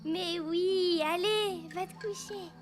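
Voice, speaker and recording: A woman voicing a confident, impatient young girl in a French children's cartoon, with a childlike, brisk, self-assured delivery. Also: very high-pitched, steady tone. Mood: matter-of-fact. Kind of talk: French animated children's series dialogue. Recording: loud music behind. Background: loud cartoon music.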